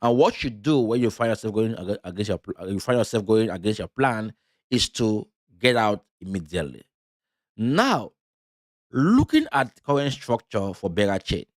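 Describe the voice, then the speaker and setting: A man's voice: lowish voice, dry recording. A man from Cameroon giving loose live commentary on crypto charts, with many fillers and restarts. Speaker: crypto trading streamer.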